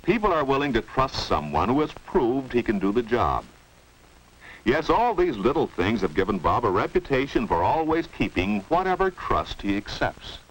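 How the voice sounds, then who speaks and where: A man's voice: medium pitch, sing-song, moderate pace, slightly rough. A man giving scripted 1950s educational film narration, didactic, often posing rhetorical questions. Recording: noisy old 1950s film soundtrack.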